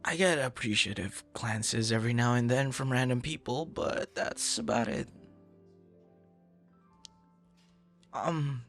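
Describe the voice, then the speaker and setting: A man's voice: medium pitch, moderate pace, fairly bright tone, soft background music, close to an everyday voice. A man playing a shy, dorky young man in an ASMR boyfriend roleplay, stammering and bashful, smitten with a stranger. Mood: bashful, flattered. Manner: stammering, bashful, awkward, breathy laughs and sighs.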